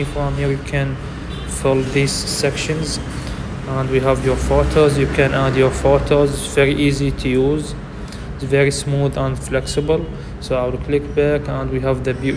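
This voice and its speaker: Halting man, native Arabic, echoey room. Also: mid-range voice, noisy background. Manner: halting, with frequent fillers and simple non-native grammar. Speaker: app tutorial presenter. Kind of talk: screen walkthrough narration.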